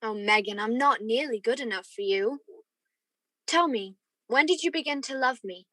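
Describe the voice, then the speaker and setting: A woman playing an earnest, lovestruck young gentleman who narrates his romance in a radio play. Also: a little high, moderate pace, no echo. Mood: tender, ardent.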